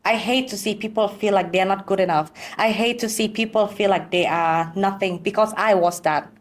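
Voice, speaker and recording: A woman's voice: medium pitch, normal speed. A woman from Thailand, a podcast guest speaking emphatic, encouraging, simple in a candid conversation. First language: Thai and German. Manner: emphatic, encouraging, in simple non-native English.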